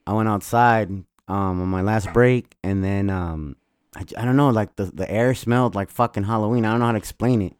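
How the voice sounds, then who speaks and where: A man's voice: lowish voice, fairly quick, clear, resonant tone, dry recording, studio quality. Swearing, rambling male podcast host. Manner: profane, excitable, rambling. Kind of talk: casual chat.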